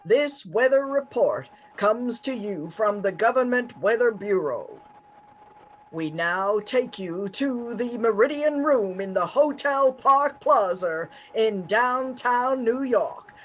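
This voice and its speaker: A formal announcer from an old radio drama, played by a stage reader. The delivery is very slow and deliberate, at a middling pitch. Each phrase is scripted and carefully set out. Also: a bit bright, slight background noise, poor audio. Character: formal, measured 1930s radio network announcer.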